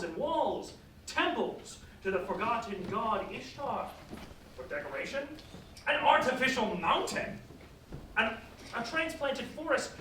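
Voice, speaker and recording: A man playing a defiant, devout captive storyteller in a theatrical staged reading, with earnest, oratorical, measured phrasing. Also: very high voice, a bit bright, slight background noise, exaggerated voice. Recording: roomy, distant live stage recording.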